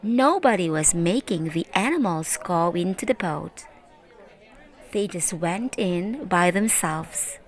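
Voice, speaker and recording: A woman reading a children's Bible story aloud in an expressive, deliberate way. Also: on the low side, a faint crowd. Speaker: storyteller, teacher.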